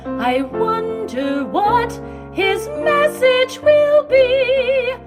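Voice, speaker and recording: A woman's voice: extremely high pitch, very slow, loud music behind the voice, full character voice. A woman playing a wistful orphaned daughter, singing in a musical theatre style over an accompaniment. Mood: wondering. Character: wistful orphaned daughter wondering about her father.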